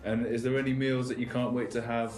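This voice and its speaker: A man's voice: mid-range voice, level tone, fast, a little mellow. A man interviewing a guest, talking in a loose, rambling way with many fillers. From England. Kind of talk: casual interview chat. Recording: echoey room with music behind.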